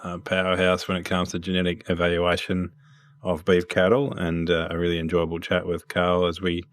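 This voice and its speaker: A man hosting a podcast, talking in a relaxed, rambling way as he introduces and questions his guest. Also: low-pitched, moderate pace, no room sound. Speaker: podcast host. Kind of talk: casual interview chat.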